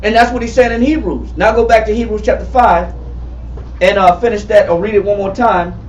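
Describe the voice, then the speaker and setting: A man in his forties teaching a Bible study, emphatic and repetitive, calling on readers and building to shouts. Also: sits high, fairly quick, a little hiss or hum. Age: 40s. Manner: emphatic, repetitive, calls for readings, rising to shouts. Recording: far-off echoey room, muffled and lo-fi.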